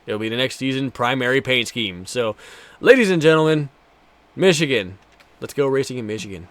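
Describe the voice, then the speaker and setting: A man's voice: medium pitch, animated, fairly quick, fairly bright tone, no echo. A man in his twenties doing casual, foul-mouthed live commentary while streaming a racing game, bantering jokily with viewers.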